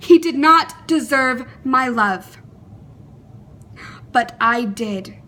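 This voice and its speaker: A young adult woman performing a dramatic monologue in an earnest, deliberate, emotive way, with pauses. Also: a little high, expressive, pushed and bright, a little hiss or hum.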